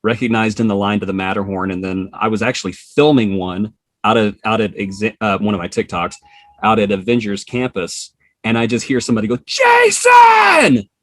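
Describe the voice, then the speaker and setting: A man as a podcast guest, casually telling personal anecdotes in an animated way and acting out bits of dialogue. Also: on the low side, fairly quick, dry recording. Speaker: podcast guest, social media creator.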